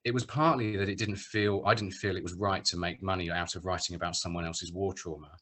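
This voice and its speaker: Low, bright-voiced British man giving a talk. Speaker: theatre director and writer giving a talk. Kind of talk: prepared speech at an online panel event.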